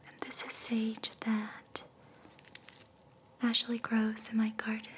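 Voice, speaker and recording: A woman doing ASMR as a reiki guide, speaking in a soft, breathy whisper with a gentle, soothing manner. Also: a little high, monotone, slow pace, a little hiss or hum.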